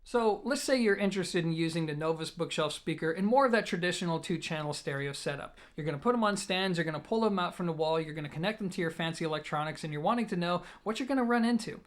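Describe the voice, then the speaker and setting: A man's voice: a little high, expressive, fast, hardly any pauses, faint music behind. A man giving a conversational product review as an audio gear reviewer, explaining things in a blunt, emphatic way.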